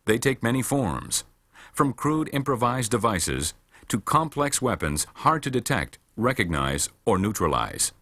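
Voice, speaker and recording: A man reading scripted instructional narration for a military training film in a measured, formal, matter-of-fact way. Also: mid-range voice, sing-song, fairly bright tone.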